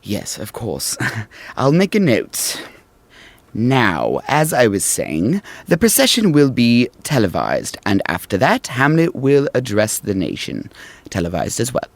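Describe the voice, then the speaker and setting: A man's voice: medium pitch, huge pitch swings, unhurried, gravelly, no echo, heavily acted. A man playing a dutiful, unyielding royal advisor in a modern audio drama, formal and wry, deferential yet pointed. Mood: businesslike, polite.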